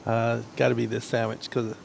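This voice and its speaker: A man co-hosting a food show, casual and halting, repeating words as he starts his sentences. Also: medium pitch, monotone, creaky, a little mellow, a little hiss or hum. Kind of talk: casual chat.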